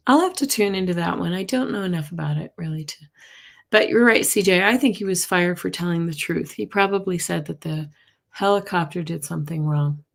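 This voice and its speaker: A middle-aged woman with a hoarse, husky voice, chatting casually as host of a live tarot and astrology stream and reacting to viewer comments. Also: on the low side, brisk. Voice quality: husky, gravelly voice. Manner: chatty, reacts to comments, coughs and clears throat. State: hoarse from losing her voice.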